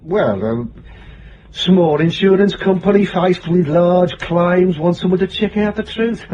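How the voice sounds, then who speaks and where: An actor playing a hesitant, put-upon detective agency junior who mumbles in a radio drama. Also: male voice, high-pitched, a little slow, darkish tone. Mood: hesitant, reluctant. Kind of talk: naturalistic radio drama acting. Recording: dull radio recording, faint noise.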